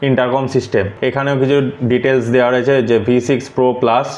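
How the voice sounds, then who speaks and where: A man's voice: medium pitch, little pitch movement, fast, a little mellow, highs cut off. A man gives a casual hands-on tutorial as a vlogger, explaining things and mixing English words into his sentences. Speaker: vlogger presenting a product unboxing.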